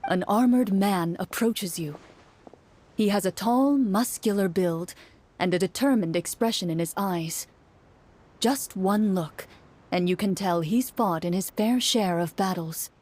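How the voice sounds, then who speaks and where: A woman's voice: medium pitch, a little slow, a little mellow, lightly acted. Woman as a dramatic fantasy game master. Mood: descriptive. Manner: dramatic, articulate storytelling. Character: female game master narrating a fantasy adventure. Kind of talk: scripted second-person game narration.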